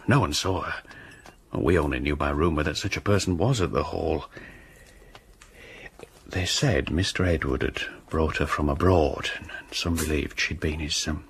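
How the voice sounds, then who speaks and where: A deep-voiced gossipy innkeeper. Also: male voice, a bit bright, slight background noise, full character voice. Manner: hesitant, chatty, respectful. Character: rustic country innkeeper, gossipy and deferential. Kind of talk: radio drama dialogue.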